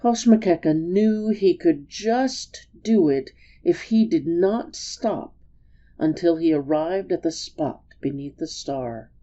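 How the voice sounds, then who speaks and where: A woman reads a story aloud with drama. Her voice is low and soft-edged, and she takes her time over each phrase. The recording sounds a bit dull. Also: takes it slowly.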